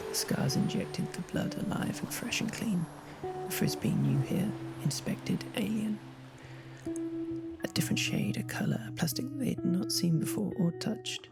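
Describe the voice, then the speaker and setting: A woman with a low, creaky voice reads a spoken word poem, often dropping to a half-whisper. Music plays loudly beneath her. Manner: hushed, half-whispered recital. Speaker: poetry reader. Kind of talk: spoken word poetry reading. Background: loud music.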